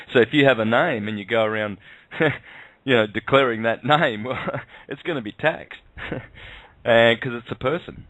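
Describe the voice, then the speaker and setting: A man's voice: on the low side, a bit bright, dry recording. A man hosting a radio talk show in a casual, rambling, hesitant way, recorded in lo-fi audio. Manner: hesitant, chuckles between phrases. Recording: dull lo-fi audio.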